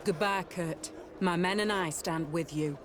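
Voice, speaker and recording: An actor playing a principled, grave military officer in a video game. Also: male voice, sits high, unhurried. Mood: stern, supportive. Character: loyal, principled Guard officer. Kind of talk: dramatic video game dialogue. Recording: clear outdoor ambient noise behind.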